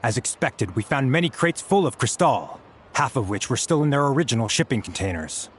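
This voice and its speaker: A man's voice: medium pitch, very animated, a little fast, slight background noise, close to an everyday voice. A man playing a dutiful subordinate officer in a video game, with a formal, clipped military manner. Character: dutiful male subordinate officer reporting to superior.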